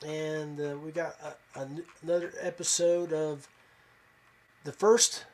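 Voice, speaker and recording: Male podcast host, slightly high voice, folksy and informal, thinking aloud in a halting solo monologue. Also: flat delivery. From West Virginia. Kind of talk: casual fishing podcast monologue.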